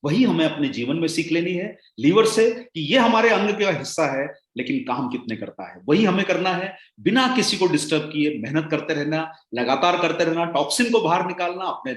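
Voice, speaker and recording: A man's voice: fairly high pitch, expressive, moderate pace, few pauses. Rousing male trainer in an echoey room. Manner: rousing, addresses listeners as friends, swings between loud and hushed. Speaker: sales trainer. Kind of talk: motivational product training talk.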